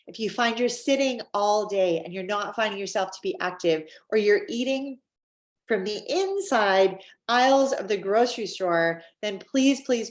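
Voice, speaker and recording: A middle-aged woman with a Canadian accent giving an upbeat, chatty wellness presentation, with frequent fillers. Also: mid-range voice. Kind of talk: scripted-feeling sales presentation. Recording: roomy echo.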